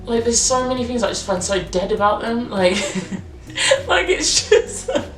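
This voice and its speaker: A woman's voice: fairly high pitch, very animated, measured pace, soft background music. A young British woman in her twenties from England, chatting casually and animatedly with lots of fillers. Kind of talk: casual chat. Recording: roomy echo.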